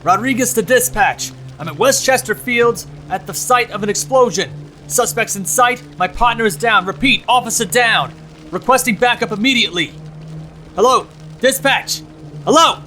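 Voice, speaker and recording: Man acting hostile cop, high forceful voice, barking, commanding, jumpy, audio drama, music underneath. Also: moderate pace. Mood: urgent. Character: hostile, jumpy, foul-mouthed American small-town male cop.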